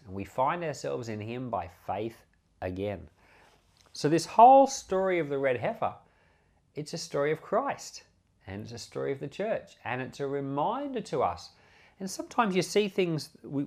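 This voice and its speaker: Man preaching with lively, swooping intonation. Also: medium pitch. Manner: conversational and explanatory, with repeated phrases and rhetorical questions. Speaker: pastor giving Bible commentary on a podcast. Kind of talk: informal expository sermon, explaining scripture.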